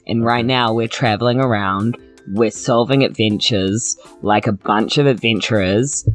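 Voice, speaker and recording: A woman's voice: a bass voice, a little slow, faint music behind. A woman chatting casually as a player in a tabletop game. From New Zealand. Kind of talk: casual in-character game chat.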